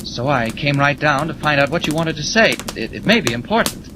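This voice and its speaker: An actor playing a brisk heroic newspaper reporter with a secret identity, in urgent 1940s radio serial style. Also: male voice, fairly high pitch, expressive, lightly acted. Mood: earnest, pressing. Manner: urgent, self-narrating, stammering when pressed. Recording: noisy vintage 1940s radio recording.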